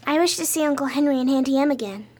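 A woman's voice: sits high, fast, darkish tone, close to an everyday voice. A woman playing an earnest, kind young farm girl in a radio drama, speaking politely. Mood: grateful, fond. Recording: noisy radio drama recording.

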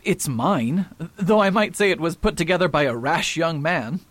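A pompous, high-minded detective, played by a man, lofty and quick to defend himself. His voice sits fairly high and swings widely. It sounds dry and close. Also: lively intonation, fairly bright tone, no echo. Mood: defensive. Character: proud pompous male detective, middle-aged. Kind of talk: radio drama acting.

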